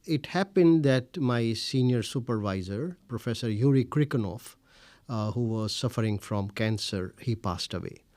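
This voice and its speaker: A middle-aged man from India whose first language is Urdu, giving measured, formal interview answers with frequent pauses. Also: mid-range voice, animated, darkish tone, dry recording. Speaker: interviewee, scholar.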